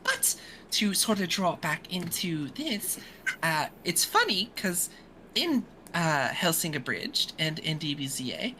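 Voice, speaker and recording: A woman hosting a casual podcast, her voice pitched low but bright and animated. She drops into whispered asides, with a faint hiss in the background. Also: a little slow, low-quality recording. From a metropolitan area. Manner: whispers asides, laughs often. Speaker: podcast host. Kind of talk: casual chat.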